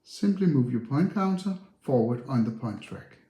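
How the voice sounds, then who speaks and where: A man's voice: mid-range voice, lively intonation, normal speed, dark tone. A man narrating board game instructions in a simple, step-by-step way. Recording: roomy, echoey sound.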